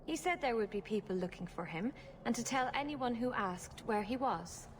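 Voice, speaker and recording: A woman's voice: on the high side, expressive, normal speed, close to an everyday voice. A woman playing a guarded, reserved female elf in a video game, speaking in a cool and formal manner. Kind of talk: video game character acting. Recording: clear background ambient noise.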